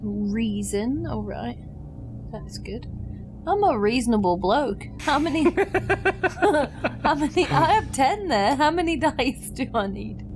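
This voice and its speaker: Higher-voiced playful woman, music behind. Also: lively intonation, slow, long pauses. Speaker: player in a tabletop game. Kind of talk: casual game-table chat.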